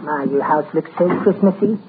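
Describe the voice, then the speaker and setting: An actor playing a meek, fussy, soft-spoken male neighbor in 1940s radio comedy. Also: on the high side, normal speed, lightly acted. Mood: mild. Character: meek, mild-mannered bird-loving adult male neighbor. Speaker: visiting neighbor. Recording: tinny old radio broadcast with faint hiss.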